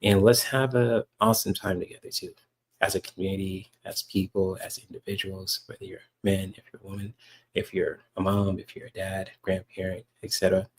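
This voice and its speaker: A soft-spoken man hosts a reaction podcast in a slightly low, soothing voice. He rambles casually, leaning on fillers and restarts as he talks to his listeners. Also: level tone, a little fast, a little hiss or hum, rough recording. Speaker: podcast host reacting to a clip.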